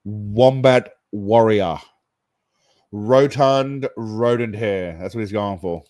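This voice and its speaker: A man's voice: lowish voice, slow pace, darkish tone, dry recording. Sarcastic, foul-mouthed man, dry close mic. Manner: sarcastic, profane, mocking. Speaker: host reacting to clips. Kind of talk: crude comedic commentary.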